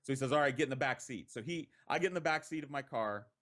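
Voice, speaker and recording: American man, mid-pitched voice, podcast storyteller, casual anecdote style, profane, conversational, dramatic pauses. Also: a bit bright.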